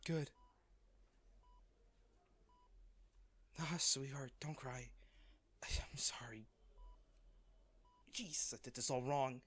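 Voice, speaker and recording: A man playing a wealthy, devoted, protective lover in a romantic audio roleplay, speaking tenderly and earnestly with halting phrases. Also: medium pitch, a bit bright, breathy edge. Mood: soft, soothing.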